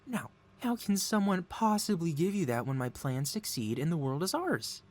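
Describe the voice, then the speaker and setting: A man playing a possessive mad scientist supervillain boss in an ASMR audio roleplay, talking in a soothing, coaxing, flattering way as if innocent. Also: fairly high pitch, normal speed. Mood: adoring, coaxing. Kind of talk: ASMR audio roleplay, intimate one-sided dialogue.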